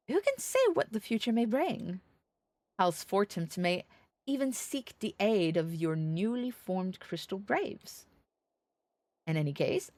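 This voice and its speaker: A woman's voice: lowish voice, darkish tone, dry recording. A woman voicing a gracious, welcoming knight lord from a fantasy game, speaking in a courtly, florid way.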